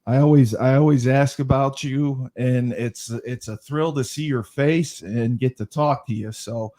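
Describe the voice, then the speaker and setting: A man hosting a casual podcast interview, joking and self-deprecating, reading the sponsor copy slowly. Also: mid-range voice, fairly even intonation, dark tone. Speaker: podcast host.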